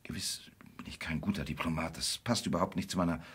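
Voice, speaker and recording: An actor playing a brooding, detached gentleman in a radio drama, speaking reflectively and often hushed, with sudden urgency. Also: deep voice, fast talker, husky, heavily acted. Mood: intimate, troubled. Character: brooding, detached gentleman in love with an endangered woman.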